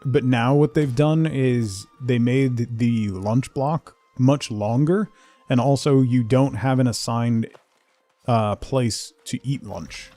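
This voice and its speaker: A man hosting a game livestream, rambling casually and haltingly as he thinks aloud and replies to viewers. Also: medium pitch, mellow, dry recording, soft background music. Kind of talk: casual chat while playing, answering viewers.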